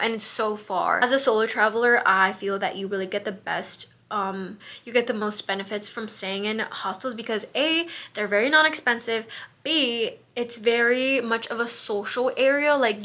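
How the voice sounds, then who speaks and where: A young woman with a bright, clear voice gives travel tips in a relaxed, chatty vlog. She grew up in the United States with Spanish as her first language, and she talks in loose, rambling sentences with plenty of fillers and restarts. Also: medium pitch. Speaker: travel vlogger. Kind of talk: casual advice vlog.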